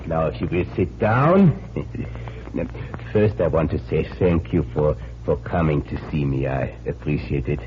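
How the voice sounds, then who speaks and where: An actor playing a troubled, courteous immigrant diamond cutter with a continental European accent and hesitant, repetitive phrasing, in 1940s radio style. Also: male voice, rumbling low, animated, a little slow. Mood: polite, troubled. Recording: dull, noisy 1940s radio recording.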